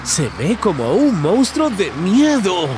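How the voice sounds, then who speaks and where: A man's voice: high voice, sing-song, slow, noisy background. A man narrating a children's cartoon in an exaggerated, singsong way, talking to the characters.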